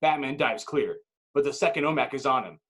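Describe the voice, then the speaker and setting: A man's voice: mid-range voice, expressive, a little fast, noisy background. Male narrator, intense dramatic script reading. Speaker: narrator reading stage directions.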